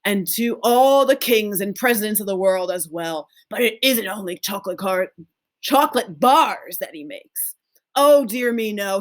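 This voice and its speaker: A woman reading a children's story aloud, voicing a fond, excitable, very old grandfather who exclaims and savors his superlatives. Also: medium pitch, close to an everyday voice.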